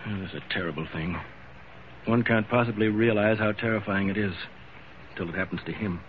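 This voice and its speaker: A man's voice: fairly low pitch, fairly quick, close to an everyday voice. An actor playing a proud ship owner who is losing his sight and hides it, hesitant and stammering, in old-time radio drama style. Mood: bitter, subdued. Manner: hesitant, stammering, self-deprecating. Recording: tinny, noisy old radio broadcast.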